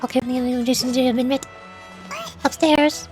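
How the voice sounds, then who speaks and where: A woman's voice: high voice, steady tone, a little slow, a little mellow. A woman game streamer talks casually as a VTuber. Faint game music runs behind her.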